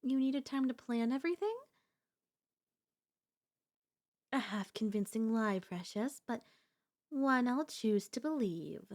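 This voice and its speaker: A high-pitched, sweetly possessive yandere girlfriend, acted by a woman for an ASMR roleplay. She is theatrical and insistent, coaxing her beloved with flowery endearments and elegant teasing. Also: animated, normal speed, a little mellow. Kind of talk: ASMR audio roleplay acting.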